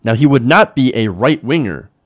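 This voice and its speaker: An American man delivers opinionated political commentary as a show host. His voice sits at a medium pitch and moves around a lot for emphasis. He argues emphatically, leaning on rhetorical questions. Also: expressive, normal speed.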